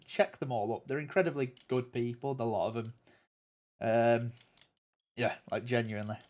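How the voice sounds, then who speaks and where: A young man with a nasal voice, chatting casually with viewers on a game stream in a rambling way. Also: fairly high pitch. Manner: rambling and chatty, many ums and restarts. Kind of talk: casual livestream chat answering viewers.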